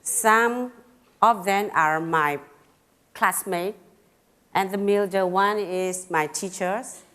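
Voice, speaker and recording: A middle-aged woman from Burma with a Karen accent, giving a personal talk in simple, halting phrasing with pauses and fillers, a little nervous. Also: mid-range voice, fairly bright tone, smooth. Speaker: speaker at a talk.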